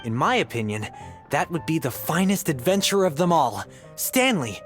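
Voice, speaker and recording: A boozy, bragging adventurer from a video game. He is chummy and swings his pitch around expressively, with faint music behind him. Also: very animated, normal speed. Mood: boastful, tipsy. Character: drunk, boastful male adventurer in a tavern.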